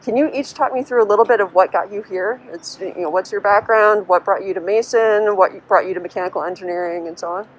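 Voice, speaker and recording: A woman's voice: medium pitch, quick pace, few pauses, rough recording. A woman interviewing student teams at an event, asking questions and thanking each speaker.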